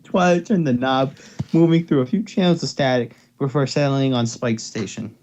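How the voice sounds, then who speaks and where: A man's voice: mid-range voice, moderate pace, darkish tone, a little hiss or hum. A young man giving a casual amateur reading of a story, playful and stumbling, with offhand asides. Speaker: reader. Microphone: headset or desktop mic on voice call.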